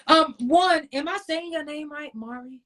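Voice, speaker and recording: A woman's voice: high voice, unhurried, a bit bright. A woman hosting a casual interview podcast, chatting in an affirming, slangy way. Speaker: podcast host.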